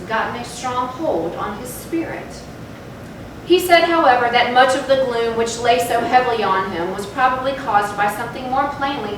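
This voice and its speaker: A woman giving a measured, solemn dramatic narration of a gothic story in a stage reading. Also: mid-range voice, lightly acted. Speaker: narrator in a readers' theatre. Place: live theatre stage, recorded from afar. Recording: very echoey, distant, noisy hall.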